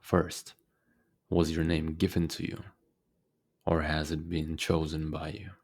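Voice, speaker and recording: Very deep-voiced man guiding meditation. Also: husky. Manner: calm, deliberate, with frequent pauses. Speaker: guided meditation teacher. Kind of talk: guided meditation narration.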